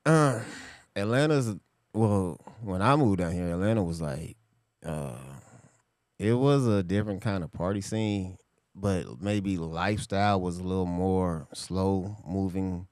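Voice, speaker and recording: A man's voice: sits low, a little slow, darkish tone, no room sound, clean studio sound. A middle-aged man from California chatting as a guest in an interview. He talks in a laid-back way, laughs often and repeats his phrases. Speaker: guest comedian. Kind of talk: casual interview chat.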